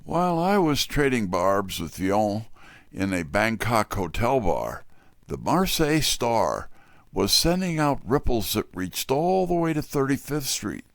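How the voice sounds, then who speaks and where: An actor playing a wry, tough, wisecracking detective's assistant in hardboiled first-person mystery narration and dialogue. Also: male voice, mid-range voice, sing-song, a little slow, gravelly, no echo, clean studio sound. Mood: wry storytelling.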